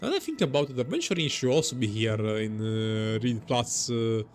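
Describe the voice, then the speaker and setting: A man's voice: medium pitch, lively intonation, brisk, faint music behind. A man giving casual, reactive game commentary, rambling and hesitant as he thinks aloud. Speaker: gaming streamer.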